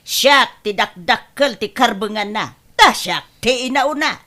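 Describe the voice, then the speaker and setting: Woman acting bright-voiced, bossy eldest daughter. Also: fairly high pitch, animated, unhurried. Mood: quarrelsome. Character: bossy, quarrelsome middle-aged eldest daughter. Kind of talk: Ilocano comedy radio-style drama.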